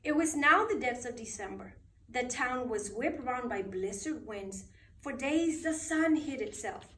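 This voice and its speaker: High-pitched woman reading a bedtime story, roomy. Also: animated, fairly bright tone. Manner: dramatic, deliberate phrasing for children. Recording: roomy echo, distant microphone.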